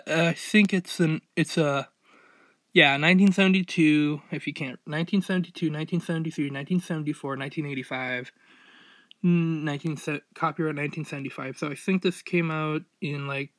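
A man's voice: on the high side, fairly even intonation, moderate pace, a bit bright, no room sound. A young man giving a casual, unscripted show-and-tell as a vlogger, rambling and hesitant as he goes. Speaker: vlogger showing a collection. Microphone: handheld phone or camera mic. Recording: noisy, fuzzy handheld amateur recording.

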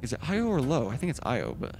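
A man with a gravelly voice doing casual gameplay commentary as a streamer. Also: mid-range voice, wildly swooping pitch, very fast, a little mellow. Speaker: gaming streamer. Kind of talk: casual gameplay commentary, reading in-game text aloud.

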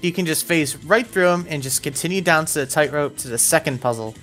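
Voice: mid-range voice, a little fast, a bit bright. Game walkthrough narrator with music behind. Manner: instructional, step-by-step. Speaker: video game guide narrator. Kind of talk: scripted game walkthrough commentary. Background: background music.

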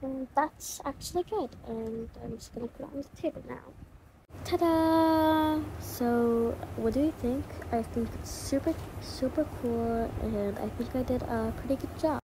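A woman's voice: high voice, a little slow, warm tone, slight background noise, low-quality recording. A woman casually narrating a role-play routine video in an informal, hesitant, chatty way.

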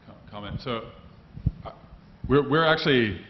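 A man's voice: mid-range voice, expressive, unhurried. Man, panelist, enthusiastic, stumbling restarts, roomy echo, dull muffled sound. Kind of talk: panel discussion answer. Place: lecture hall. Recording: muffled roomy hall recording with faint noise.

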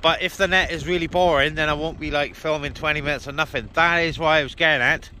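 Bright-voiced man chatting in a car. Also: a little high, steady tone, fast talker, slight background noise. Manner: informal, addressing viewers as guys. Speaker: vlogger and radio operator. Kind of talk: casual vlog chat and radio talk. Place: inside a parked car.

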